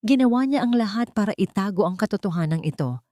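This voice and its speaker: Woman voicing intense fallen male tycoon, animated. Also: medium pitch, fast talker, dry recording, studio quality, voice pushed up, lightly acted. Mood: accusing. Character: proud fallen male tycoon, righteous yet devoted.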